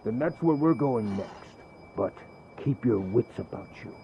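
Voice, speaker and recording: An actor playing a commanding soldier who urgently plans the next move. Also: male voice, mid-range voice, expressive, unhurried, highs cut off, a little hiss or hum, natural voice. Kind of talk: video game cutscene acting.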